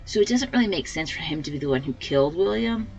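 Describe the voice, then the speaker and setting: A woman with a slightly hoarse voice hosting a let's play, giving casual commentary and thinking aloud as she plays. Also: lowish voice, lively intonation, quick pace, muffled recording, faint music behind. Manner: rambling, thinking aloud with fillers.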